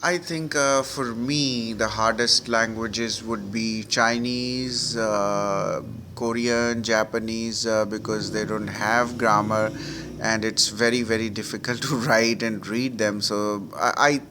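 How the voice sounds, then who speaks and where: A man's voice: lowish voice, fairly even intonation, takes it slowly, noisy background. A middle-aged man, an Urdu speaker, giving sample IELTS speaking answers with many fillers and restarts. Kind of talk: model exam answers with Hindi-Urdu prompts.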